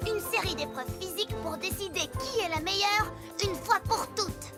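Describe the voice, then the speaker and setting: Very high-pitched, brash cartoon pegasus. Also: female voice, moderate pace, loud music behind the voice. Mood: challenging. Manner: cocky, impatient, blunt. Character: brash, boastful, competitive young female pegasus pony. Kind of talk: animated cartoon dub acting.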